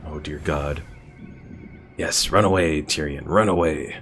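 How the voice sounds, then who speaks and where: A man's voice: very deep voice, measured pace, a bit bright, faint music behind. A man streaming a game with casual live commentary, thinking aloud as he plays. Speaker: gaming streamer.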